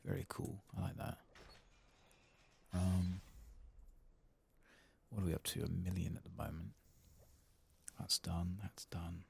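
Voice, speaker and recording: Slow, low-voiced man murmuring ASMR commentary. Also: steady tone, creaky, slight background noise. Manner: murmured, musing to himself. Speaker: game streamer. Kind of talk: soft-spoken ASMR gameplay commentary with whispering.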